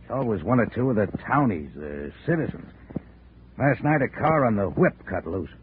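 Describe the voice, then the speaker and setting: Gruff carny boss, slangy tough guy, voice swings up and down, tinny old radio. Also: lowish voice, sing-song, normal speed, a little hiss or hum. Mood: wary. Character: tough, wary male carnival manager. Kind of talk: 1950s radio drama acting.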